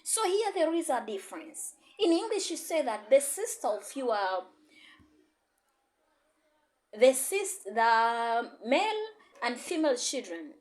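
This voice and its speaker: A woman's voice: sits high, very animated, slow, long pauses, darkish tone. A woman, a language teacher giving an encouraging online lesson and often repeating herself. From Tanzania. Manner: repetitive, encouraging, code-switching teacher. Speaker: Swahili and English teacher.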